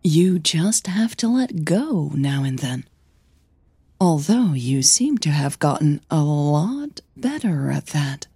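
An elegant, teasing opera diva in an audio romance, played by a woman narrator. She is measured and knowing, with very slow phrasing and a mellow, low-leaning voice. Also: very animated, slightly rough, dry recording, full character voice. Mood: assured, encouraging.

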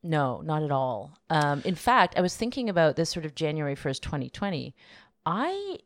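A woman's voice: low voice, big pitch swings, normal speed. A woman in her forties co-hosting a podcast in casual, hesitant chat. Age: 40s. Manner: hesitant, many fillers and restarts, laughs easily. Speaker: podcast co-host.

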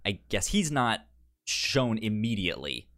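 A man co-hosting a casual film discussion podcast, speaking with a pronounced stutter that repeats sounds and syllables in a halting delivery. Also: fairly low pitch, sing-song, fairly bright tone. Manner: halting, hesitant delivery with frequent restarts. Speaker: podcast co-host.

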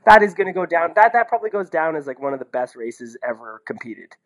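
A man's voice: a little high, sing-song, fast talker, muffled recording. A man talking casually and bluntly about sports as a podcast commentator, incredulous and full of rhetorical questions and the word "like". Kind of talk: casual opinionated sports talk.

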